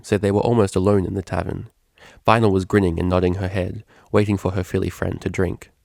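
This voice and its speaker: A man's voice: low-pitched, gravelly, a little mellow, no echo, studio quality. A man narrating a scripted story as a fan fiction reader.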